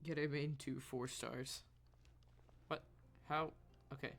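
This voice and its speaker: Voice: mid-range voice, steady tone, slow, vocal fry, a bit bright. A streamer giving informal, off-the-cuff commentary while playing a video game. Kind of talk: casual game livestream commentary.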